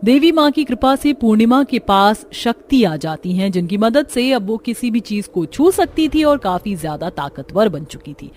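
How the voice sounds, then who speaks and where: A woman's voice: medium pitch, a little mellow. A woman narrating a cartoon story in a dramatic scripted style.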